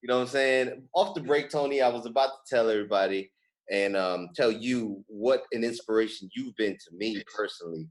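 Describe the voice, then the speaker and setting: A man's voice: fairly low pitch, fairly quick, low-quality recording. A man from New York in his thirties hosting a podcast, chatting casually and effusively in slangy speech. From New York, United States. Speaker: podcast host. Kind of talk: casual interview chat.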